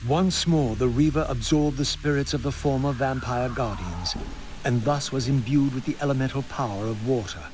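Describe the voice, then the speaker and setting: An actor playing a brooding, tormented undead wraith who narrates a dark fantasy game in formal, theatrical speech. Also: male voice, mid-range voice, normal speed, slight background noise, lightly acted. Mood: resolute. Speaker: video game protagonist narrator. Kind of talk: dramatic scripted narration. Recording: music behind the voice.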